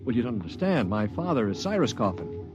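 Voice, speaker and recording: A man's voice: mid-range voice, very animated, brisk, dull audio, music in the background, lightly acted. An actor playing a flustered young rich American man, hesitant and stammering as he protests, in mid-century radio drama style. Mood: indignant. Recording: vintage radio recording.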